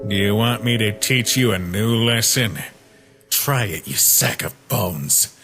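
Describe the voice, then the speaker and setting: A man voicing a cheeky, blunt skeleton knight who taunts in an unhurried way, in a fan-dubbed comic. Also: on the low side, animated. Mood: mocking challenge. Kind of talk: fan-dub comic voice acting. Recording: voice over background music.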